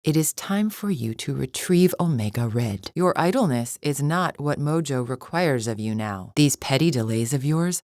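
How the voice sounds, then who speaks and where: A proud female alien gladiator for a video game. Her voice sits low, with formal, scornful diction and an impatient, superior temper. The sound is dry and close, free of room echo. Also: lively intonation, normal speed, hardly any pauses, darkish tone. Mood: imperious, irritated. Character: haughty, impatient alien warrior woman, proud arena champion. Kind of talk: video game character acting.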